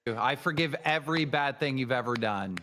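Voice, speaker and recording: A man's voice: medium pitch, moderate pace, bright, forceful tone. A man taking part in a live online show, chatting casually. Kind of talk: casual live-stream chat.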